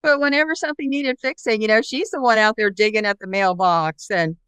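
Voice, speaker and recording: A woman's voice: medium pitch, brisk, fairly bright tone, clean tone, dry recording. A woman hosting an interview in a casual, conversational style. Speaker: interview host.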